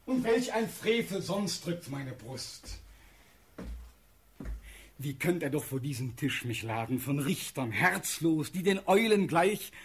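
An actor playing a proud, passionate young prince condemned to death, declaiming verse in a husky, rough voice in a radio play. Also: male voice, on the high side, animated, a little hiss or hum. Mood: indignant. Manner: declamatory, impassioned, pausing for effect.

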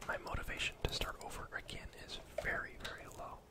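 Casting: young male gaming streamer, whispered ASMR, casual and rambling, close-miked. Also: quick pace. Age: young adult. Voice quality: soft, breathy whisper. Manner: casual, rambling, thinking aloud. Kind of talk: whispered ASMR gameplay commentary.

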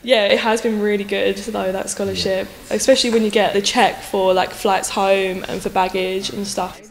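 A woman's voice: mid-range voice, level tone, moderate pace, vocal fry, long reverb tail, a little hiss or hum, low-quality recording. A young woman from England, a student talking casually in an indoor lounge. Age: young adult. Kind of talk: casual interview.

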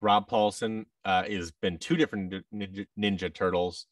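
An American man talks casually as an interview guest, in a low voice. His phrasing is halting and often restarts. The sound is dry, though he sits a little away from the microphone. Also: fairly even intonation, dry recording, recorded from a distance. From United States. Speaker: guest, voice actor and audio drama producer. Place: large office room, indoors. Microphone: headset with boom-arm microphone on a video call.

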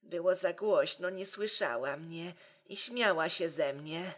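A woman playing a hapless, meek but stubborn young man in an amateur comedy drama, speaking in a flat, unconvincing way. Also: on the low side. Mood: dejected. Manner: unconvincing, flat, slow delivery.

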